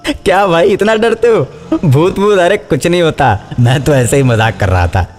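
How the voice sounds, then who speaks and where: A man playing a chatty, teasing young auto passenger in a cartoon story, animated, joking and complaining. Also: fairly high pitch, moderate pace, long reverb tail, faint music behind, natural voice.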